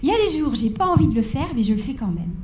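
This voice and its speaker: A woman's voice: high voice, very animated, fast talker, mellow. A woman in her forties giving a stage talk, telling her life story with wry, dramatic pacing and playful asides to the audience. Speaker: conference speaker. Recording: echoey hall with faint background noise.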